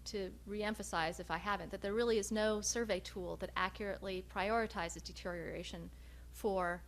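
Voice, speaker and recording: A woman researcher giving a research presentation, speaking in a measured, hesitant way with frequent pauses. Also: medium pitch, fairly even intonation. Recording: noticeable background hum and noise.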